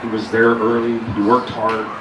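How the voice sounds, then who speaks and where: Loud-voiced middle-aged man, blunt and rambling memorial speaker; distant mic, roomy echo. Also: fairly low pitch, little pitch movement, normal speed. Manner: rambling, blunt, swears freely. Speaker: eulogy speaker. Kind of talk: unscripted memorial tribute. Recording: echoey room, mic far off, loud noise.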